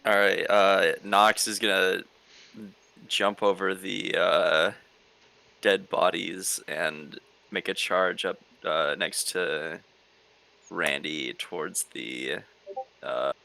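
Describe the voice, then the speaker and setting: A man playing a tabletop roleplaying game, talking casually in a hesitant, halting way. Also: low-pitched, steady tone, vocal fry, bright, forceful tone. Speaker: player.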